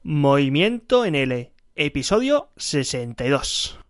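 A man's voice: on the high side, big pitch swings, takes it slowly, soft background music. Chatty male podcast host. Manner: chatty, with fillers and repeats. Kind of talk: casual interview chat.

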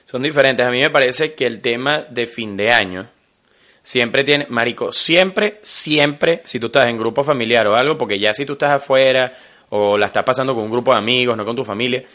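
Un hombre con acento venezolano, copresentador de un podcast, que conversa en tono informal, bromista y lleno de jerga. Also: male voice, mid-range voice, moderate pace. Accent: Venezuelan Spanish. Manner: joking, animated, full of slang. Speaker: podcast co-host. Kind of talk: casual chat.

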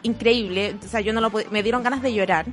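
Una mujer joven con acento chileno, invitada en una charla casual, habla con soltura y se ríe con facilidad. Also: female voice, a little high, fairly even intonation, fast, fairly bright tone, soft background music. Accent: Chilean Spanish. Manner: chatty, laughs easily. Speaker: guest. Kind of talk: casual chat.

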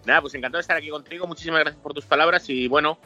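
Madrid guy answering interview questions, bright forward voice, lots of eh and restarts. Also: a little high, steady tone, racing, dull audio, soft background music. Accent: Madrid Spanish. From Spain. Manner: hesitant, restarts sentences, many fillers. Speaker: journalist guest. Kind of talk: casual interview.

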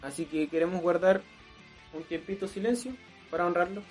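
A young man with a Chilean accent hosting a casual podcast chat over beers, slangy and breaking into loud, emphatic rants. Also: sits high, a little fast, soft background music. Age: young adult. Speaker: podcast host.

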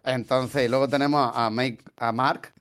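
A man with a Spain Spanish accent, hosting a casual music podcast. He tells the story in a loose, chatty flow and keeps dropping puns. His voice sits at an ordinary middle pitch. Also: fairly even intonation, normal speed, a bit bright. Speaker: podcast host. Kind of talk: casual podcast chat about a band's history.

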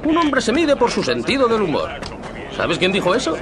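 A man's voice: sits high, wildly swooping pitch, moderate pace, crowd noise. An actor playing a chatty, jovial, patronising man who talks down to a lad in a teasing, folksy way, in a dubbed drama. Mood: jovial, needling.

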